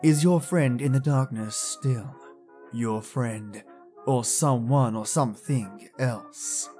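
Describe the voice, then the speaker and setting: A man's voice: mid-range voice, slow pace, dark tone, soft background music. A man hosting a podcast, giving a playful, dramatic scripted introduction to old radio tales. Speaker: podcast host.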